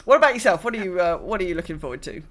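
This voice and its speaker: A man's voice: sits high, animated, very fast, fairly bright tone. A man talking casually as a guest on a gaming podcast.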